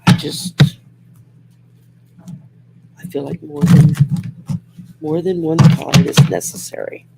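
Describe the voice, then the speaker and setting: A middle-aged woman with a growly, gravelly voice from throat surgery, chatting casually on a livestream while pulling tarot cards, rambling and restarting often. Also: low voice, big pitch swings, slow, very noisy. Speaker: host and tarot card reader. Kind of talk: casual livestream chat with card reading.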